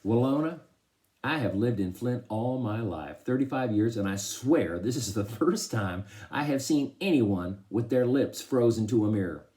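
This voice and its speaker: A man's voice: medium pitch, expressive, normal speed, mellow, lightly acted. A man voicing an amused father in his thirties, wry and teasing. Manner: wry, mocking.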